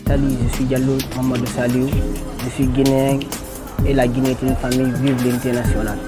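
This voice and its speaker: A man's voice: medium pitch, little pitch movement, a little fast, loud music behind the voice. A man from Guinea with a Guinean French accent giving earnest, direct answers in a street interview.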